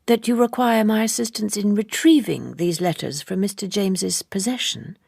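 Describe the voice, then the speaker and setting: Audiobook ingénue in her twenties read by an actress: earnest, businesslike, polite, husky, dry close sound. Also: medium pitch, normal speed, a little mellow, dry recording, voice pushed up. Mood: businesslike, questioning. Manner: businesslike, careful, formally polite. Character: earnest, naive young woman of twenty-three, quietly direct. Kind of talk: audiobook character dialogue.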